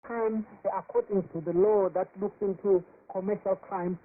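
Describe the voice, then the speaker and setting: A man reporting as a correspondent in a live interview, halting with many fillers and restarts. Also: high-pitched, fairly even intonation. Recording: tinny shortwave radio with hiss.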